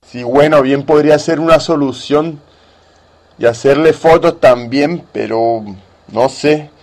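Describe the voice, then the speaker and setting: A man's voice: a little high, unhurried, darkish tone. A man speaking colloquially and mock-earnestly as the patient in a satirical radio sketch. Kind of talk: slow, casual satirical sketch dialogue.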